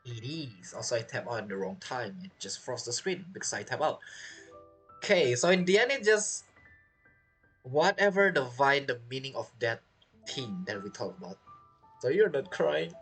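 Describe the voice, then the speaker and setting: Male streamer, lively swinging intonation, informal and chatty, commenting over soft game music. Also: medium pitch, moderate pace. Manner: chatty, informal, reacting as he plays. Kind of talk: casual gameplay commentary.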